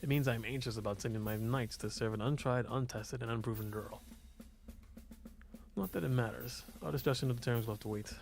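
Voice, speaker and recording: A man's voice: mid-range voice, a little fast, vocal fry, a little mellow, faint music behind, close to an everyday voice. A man voicing a haughty, stern ruling duke in a game, formal and scornful. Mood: stern, dismissive.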